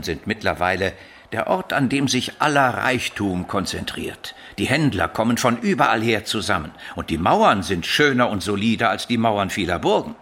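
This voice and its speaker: Low-voiced learned older bishop. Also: male voice, fairly bright tone, husky, full character voice. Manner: measured, didactic, explanatory. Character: learned, shrewd older bishop, the emperor's uncle. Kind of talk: radio drama acting.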